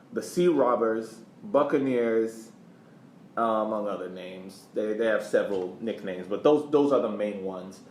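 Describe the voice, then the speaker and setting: A man co-hosting a casual sports history discussion, explaining haltingly and often repeating words. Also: lowish voice. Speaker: podcast co-host. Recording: echoey room, faint background noise.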